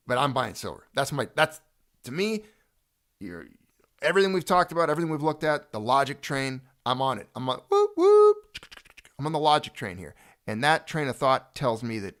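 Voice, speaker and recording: A man's voice: on the high side, big pitch swings, normal speed, a bit bright. A middle-aged man hosting a casual home chat, rambling and joking. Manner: chatty, rambling, jokey. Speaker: channel host.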